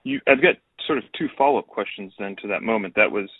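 A male podcast host asks long, winding follow-up questions in a lowish voice. His audio is dry and thin, like a phone line with no room sound. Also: quick pace, no room sound. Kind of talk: interviewer questions.

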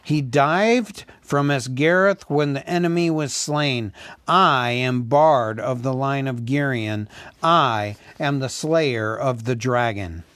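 A man's voice: medium pitch, slow pace, a bit bright, no room sound. A man voicing a fierce, proud heroic bowman who declaims in a storybook reading. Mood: proud, defiant.

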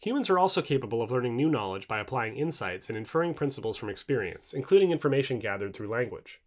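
A male narrator reads formal non-fiction prose like an audiobook. His pitch moves in a lively way and he keeps up a quick pace, while each phrase stays clearly enunciated. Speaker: reader of a non-fiction book. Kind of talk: scripted audiobook-style narration.

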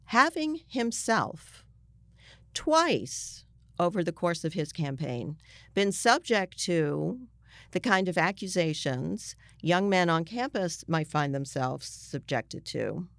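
A woman with an American accent and a fairly low, clear voice talks in a conversational interview. She explains with emphasis, pausing and restarting as she builds her point. The sound is dry and close. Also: big pitch swings, fairly bright tone, dry recording. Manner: explanatory, emphatic, halting mid-sentence. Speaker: journalist being interviewed.

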